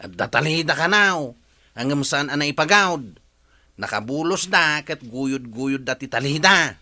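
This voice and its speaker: A man's voice: on the high side, animated, unhurried, bright, forceful tone, heavily acted. An actor playing an earnest, indignant village man in an Ilocano radio comedy drama. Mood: reproachful.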